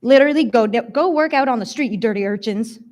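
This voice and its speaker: A woman's voice: on the high side, a little fast, darkish tone, pitched above the performer's own voice, close to an everyday voice. Woman doing a brash young Nova Scotian stripper. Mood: indignant. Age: mid-twenties. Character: brash, foul-mouthed, authoritative young stripper, hard-drinking storyteller.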